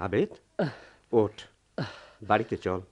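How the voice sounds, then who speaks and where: An actor playing a steady, protective village friend in a Bengali radio drama, speaking urgently and expressively in a rural Bangladeshi dialect. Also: male voice, lowish voice, takes it slowly, lo-fi sound. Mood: concerned, weary.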